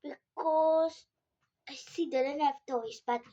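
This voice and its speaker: A woman with a South African Afrikaans accent, a native Afrikaans speaker, chatting casually to viewers in a halting, simple way. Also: high voice, steady tone, mellow, muffled recording. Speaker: vlogger. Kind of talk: casual vlog chat to viewers.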